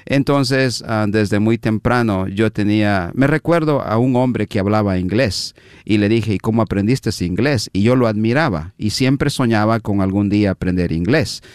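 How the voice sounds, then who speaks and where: Low-voiced man telling a story. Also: smooth, no room sound, studio quality. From Guatemala. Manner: unhurried, reflective, many "ah" and "am" fillers. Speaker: interview guest, minister. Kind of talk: conversational interview storytelling.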